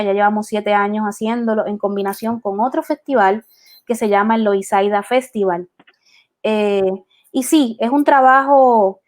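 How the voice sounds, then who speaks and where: A woman, an artist answering interview questions in a thoughtful way, with many pauses and restarts. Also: mid-range voice, darkish tone. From Puerto Rico. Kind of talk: reflective conversation.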